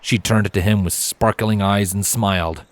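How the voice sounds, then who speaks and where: A man reading fan fiction aloud as an expressive, scripted storyteller. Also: lowish voice, normal speed, no echo.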